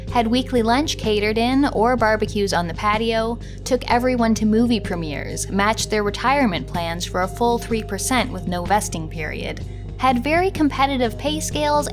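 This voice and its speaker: Higher-pitched woman reading documentary quotes. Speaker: reader of quotes.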